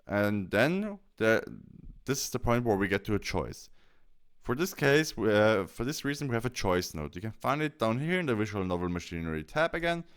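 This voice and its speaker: A man presenting a software tutorial, explaining things step by step and often correcting himself as he goes. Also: fairly low pitch, big pitch swings, moderate pace, clear, resonant tone.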